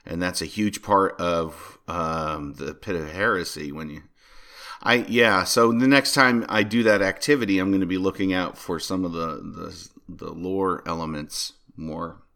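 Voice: low voice, steady tone, a little slow, fairly bright tone. A podcast host chatting casually about video games in a jokey manner. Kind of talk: casual gaming podcast chat.

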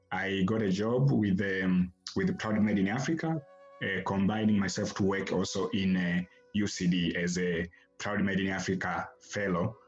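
A man from Tanzania, answering podcast interview questions as an academic guest in a halting way, often repeating words as he starts a phrase. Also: lowish voice, lo-fi sound.

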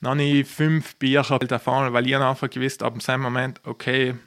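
A man's voice: medium pitch, flat delivery, brisk, fairly bright tone, clear, resonant tone. South Tyrolean man chatting enthusiastically. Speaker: podcast guest, professional poker player. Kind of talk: casual chat, personal storytelling.

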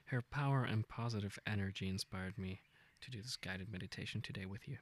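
A man leading a guided meditation, speaking gently and informally in an unhurried, intimate way. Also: fairly low pitch. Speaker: meditation guide, podcast host.

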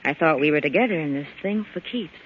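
An actress playing a tough, possessive nightclub boss wounded in love, with a sardonic, melodramatic delivery in old-time radio style. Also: female voice, low voice, expressive, fast, slightly rough. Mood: reproachful. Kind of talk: 1940s radio drama acting. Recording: dull, slightly noisy old radio recording.

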